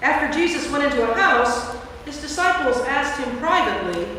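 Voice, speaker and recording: A woman's voice: high voice, expressive, moderate pace, bright, forceful tone. A woman reading scripted narration for a staged Bible reading. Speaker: narrator, priest. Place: large church interior. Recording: echoey church hall from far off, faint noise.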